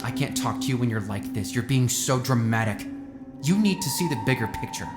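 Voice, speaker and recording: A man's voice: mid-range voice, animated, fairly quick, over loud music, lightly acted. An actor playing a self-important, controlling male astronaut in an audio drama, insistent and defensive. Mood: dismissive, angry. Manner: insistent, dismissive.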